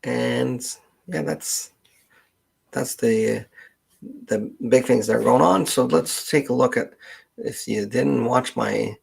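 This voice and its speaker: A man with a Canadian accent hosting a casual livestream chat, meandering and thinking aloud in a halting way. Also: medium pitch, fairly even intonation, gravelly, husky. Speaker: livestream host, genealogist.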